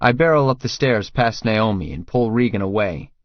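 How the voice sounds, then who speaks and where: A man's voice: on the low side, animated, moderate pace, dry recording, muffled recording. A man reading first-person fiction as a scripted audiobook narrator.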